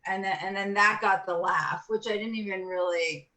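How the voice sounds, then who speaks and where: Bright-voiced woman comic guest. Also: medium pitch, steady tone, moderate pace. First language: Farsi. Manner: loose, filler-heavy storytelling. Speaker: comedian guest. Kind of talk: casual chat.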